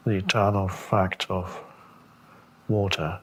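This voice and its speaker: A man giving a meditation talk as a spiritual teacher, speaking contemplatively with long pauses and gentle questions. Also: low voice, level tone, slightly rough.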